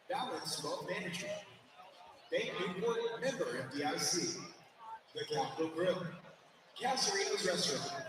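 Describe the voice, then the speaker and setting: A man reading an announcement as a list over an arena public-address system. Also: a little high. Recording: echoey arena PA, distant, noisy. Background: arena ambience and noise.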